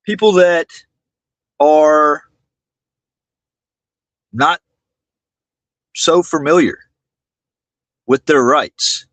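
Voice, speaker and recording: A high-voiced man speaks indignantly to his livestream viewers. His delivery is slow and halting, with words often repeated. The sound is close and dry. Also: fairly bright tone, dry recording. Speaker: livestream host.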